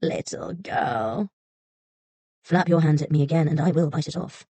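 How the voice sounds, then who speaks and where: A woman's voice: deep voice, measured pace, warm tone, breathy edge, no room sound, heavily acted. A woman voicing a sly fox spirit in a storybook, sweet and coaxing at first, haughty and stern later.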